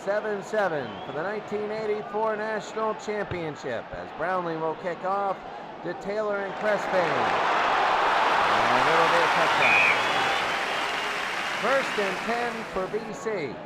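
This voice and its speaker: A man doing excitable play-by-play American football commentary in short, clipped phrases. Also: high-pitched, measured pace, heavy background noise. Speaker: play-by-play sports announcer. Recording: loud crowd and music behind. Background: crowd and music.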